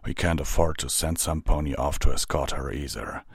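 Stern stallion commander for a storybook, voiced by a man: low, measured, authoritative, dry studio sound. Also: vocal fry, husky, no room sound, full character voice. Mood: measured, firm. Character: stern, calm, commanding male pony soldier.

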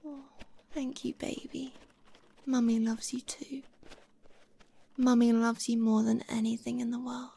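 Softly whispering woman, slow and doting. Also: fairly high pitch, level tone, gravelly, slightly rough. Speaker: audio roleplay performer. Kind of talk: intimate whispered roleplay ASMR. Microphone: close microphone.

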